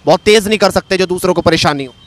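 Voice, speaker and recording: Insistent, high-pitched male street reporter. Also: fast. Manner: insistent, argumentative, repeats points. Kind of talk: street interview, pressing argument. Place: outdoors on a street.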